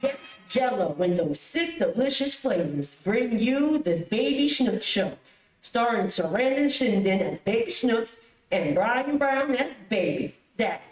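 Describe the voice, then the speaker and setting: A woman's voice: fairly high pitch, animated, measured pace. A woman announcing a show with scripted delivery in an old-time radio style. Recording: echoey room, distant mic.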